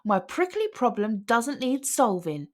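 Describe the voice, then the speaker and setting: A woman's voice: fairly high pitch, very animated, unhurried. A woman voicing a rude, scornful male cactus who sneers, in a children's storybook. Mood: scoffing. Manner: scoffing, sneering.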